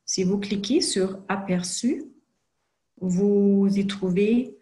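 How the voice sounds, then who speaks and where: Slow, careful woman. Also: medium pitch, mellow. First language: not French. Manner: slow, careful delivery with frequent hesitations. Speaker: presenter for a genealogy organization. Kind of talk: screen-share tutorial presentation.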